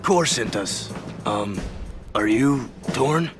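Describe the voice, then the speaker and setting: Brash young game hero, mid-pitched, lively delivery, score underneath. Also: male voice, takes it slowly, music in the background.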